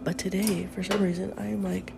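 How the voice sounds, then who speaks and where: A woman's voice: medium pitch, little pitch movement, brisk, vocal fry, background noise. A middle-aged woman hosting a podcast in a casual, upbeat morning chat, often half-whispering, with a stuffy nose from a head cold. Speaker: podcast host.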